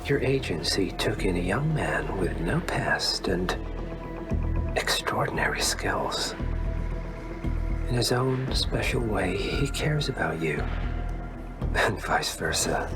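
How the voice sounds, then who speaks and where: An actor playing a smooth, menacing male emissary of a shadowy organisation in a video game, insinuating and condescending, often half-whispering. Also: fairly low pitch. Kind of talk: video game cinematic dialogue.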